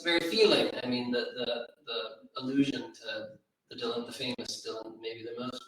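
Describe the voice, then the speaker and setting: Heard from a distance in a heavily echoing room, a man comments on literature off the cuff. His mid-pitched voice halts and circles as he searches for the right words. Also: fairly bright tone. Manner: hesitant, halting, searching for words. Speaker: literary event speaker. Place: reading room at a live literary event. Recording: very echoey room, distant microphone.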